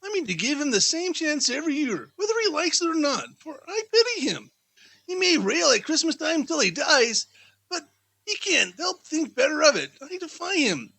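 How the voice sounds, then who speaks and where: A man voicing a cheerful, good-natured young Victorian gentleman in a chatty, animated way for a storybook. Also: very high-pitched, normal speed, vocal fry, pitched above the performer's own voice, full character voice, poor audio. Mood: cheerful, pitying.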